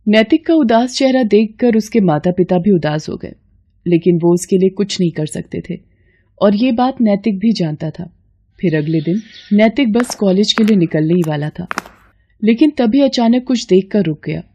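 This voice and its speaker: Woman storyteller with a mellow, dark voice. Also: medium pitch, lively intonation, normal speed. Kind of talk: scripted story narration.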